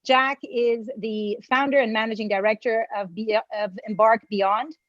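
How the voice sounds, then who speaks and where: A woman with a somewhat high voice opens a virtual panel, effusive and gracious, thanking everyone as she goes. She speaks from a video call, and the sound is dry and a little dull. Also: steady tone, normal speed, dry recording. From Mexico. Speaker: panel moderator and agency founder. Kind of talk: opening remarks for a virtual panel.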